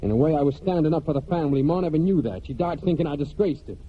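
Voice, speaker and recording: An actor in a 1940s American radio drama playing a brooding young farm man and war veteran, speaking plainly and haltingly in rustic phrases. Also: a little high, slight background noise, lightly acted. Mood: hurt, defensive. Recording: muffled 1940s radio broadcast with music.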